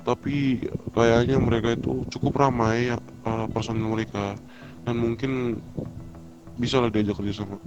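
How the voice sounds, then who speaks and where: A man's voice: medium pitch, level tone, normal speed, long pauses, close to an everyday voice. Man playing a muffled, agreeable gang leader. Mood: cooperative, casual. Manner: informal, hesitant, agreeable. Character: cooperative, casual male street gang leader reporting information. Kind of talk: online game roleplay dialogue. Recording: muffled game voice chat with music.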